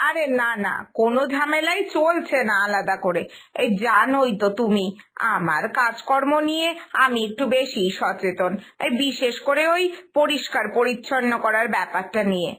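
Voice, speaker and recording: A woman's voice: fairly high pitch, lively intonation, moderate pace, pushed and bright. A woman voicing a fussy, stubborn older mother-in-law in a cartoon story, explaining herself firmly.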